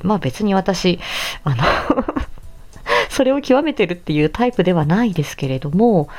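A woman's voice: sits low, vocal fry, mellow. A woman hosting a casual solo podcast, chatting politely and effusively. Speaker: podcast host and amateur voice actor.

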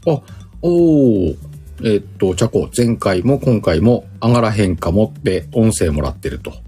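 A man's voice: on the low side, lively intonation, measured pace, dark tone, faint music behind. A man hosting a casual livestream chat, speaking informally in slang and often chuckling. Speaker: livestream host.

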